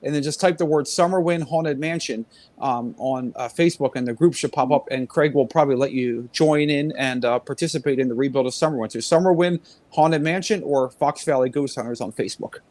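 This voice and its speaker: A man's voice: mid-range voice, a little fast, a little mellow, dry recording. A man who is a guest paranormal investigator, chatting casually and rambling with lots of fillers. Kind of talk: casual chat.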